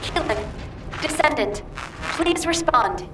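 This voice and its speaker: A woman's voice: high-pitched, lively intonation, takes it slowly, echoey room, distant mic, heavy background noise. An actress playing an earnest mission control operator in a video game, formal and concerned in her delivery. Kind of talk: video game radio dialogue.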